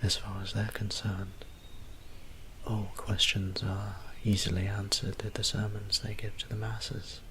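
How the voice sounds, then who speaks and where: Male actor as weary vampire priest, low pitch, even intonation, soft whispery breath, formal old-fashioned wording, doting, intimate audio drama. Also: unhurried, slight background noise. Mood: solemn, reflective. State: tired.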